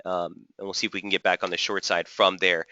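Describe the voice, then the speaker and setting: A slightly hoarse man hosts a live trading webinar in a low, forceful voice. He walks through price charts and answers viewers' typed questions. The recording is dry and a bit muffled. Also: little pitch movement, quick pace, no echo. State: slightly hoarse, losing his voice. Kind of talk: live market analysis webinar.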